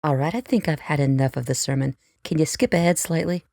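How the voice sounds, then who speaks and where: A man plays a wry, impatient male analyst in an audio drama. His voice is creaky, and he grumbles in an informal, jaded way. The recording is close and free of echo. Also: on the high side, fairly quick, darkish tone.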